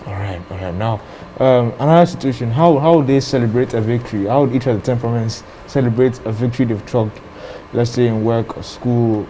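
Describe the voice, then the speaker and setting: A man's voice: medium pitch, a little fast, hardly any pauses, warm tone, slight background noise, rough recording. A man hosting an interview, asking questions with hesitations and restarts. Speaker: host.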